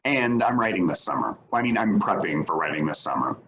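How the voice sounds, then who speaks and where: A laid-back, slightly defensive schoolteacher , played by a man in a stage drama. His voice is deep with wide swings in pitch, and his delivery is dry and casual. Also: very animated, brisk, creaky, a bit bright, highs cut off, full character voice. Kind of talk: staged play reading.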